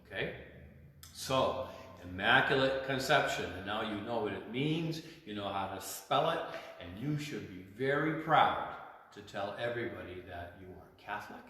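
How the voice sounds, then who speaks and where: A man's voice: lowish voice, animated, normal speed, bright, forceful tone. A man, a priest, teaching children informally about faith in simple words, with repetition and frequent checking questions. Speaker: priest hosting a children's church storytime. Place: inside a large church. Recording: very echoey church, long reverb, faint noise.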